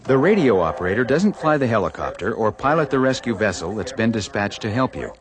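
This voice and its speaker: A man's voice: very animated, fairly quick, crowd noise. A man giving emphatic, advisory scripted narration for a safety training video. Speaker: instructional narrator. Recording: noisy, with vehicle-like rumble.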